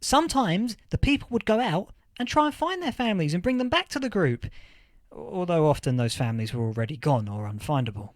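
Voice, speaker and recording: A man playing a thoughtful, earnest history professor in an audio drama interview, explaining things with halting, deliberate emphasis. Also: high voice, animated, no room sound. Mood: earnest, lecturing. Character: male history professor of a post-apocalyptic future, thoughtful, earnest. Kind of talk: acted interview, lecturing explanation.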